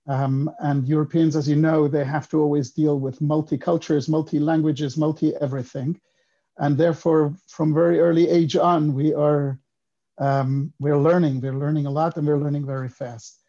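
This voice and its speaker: A man in his fifties from Switzerland, a guest on a podcast, telling his story in a reflective, unhurried way. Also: fairly high pitch, level tone, warm tone, lo-fi sound. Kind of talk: casual interview talk.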